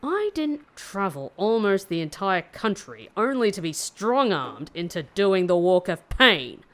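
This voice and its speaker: An actress playing a defiant, sharp-tongued hitchhiker in an audio drama, confrontational and sarcastic. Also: female voice, mid-range voice, very animated, unhurried.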